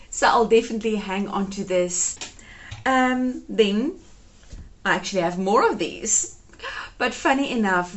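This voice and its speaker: A woman in her thirties whose first language is Afrikaans, chatting her way through a home decluttering vlog and gushing over keepsakes. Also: medium pitch. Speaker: home organizing vlogger.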